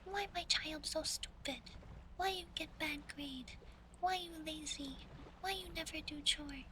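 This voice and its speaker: A woman voicing a strict, nagging Chinese mother who scolds in soft, clipped broken-English questions. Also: high voice, unhurried, voice pushed up. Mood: scolding. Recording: clearly audible ambient background noise.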